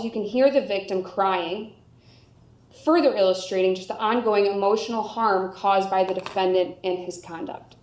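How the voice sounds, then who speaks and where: A woman with an American accent making a formal courtroom argument as a prosecutor, in a measured, articulate manner. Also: mid-range voice, darkish tone. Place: indoor courtroom. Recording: echoey room sound.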